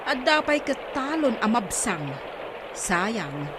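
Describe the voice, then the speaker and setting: A woman's voice: on the high side, sing-song, takes it slowly. A woman with an Ilocano accent playing a worried village neighbour in a radio comedy drama. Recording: very noisy radio drama ambience.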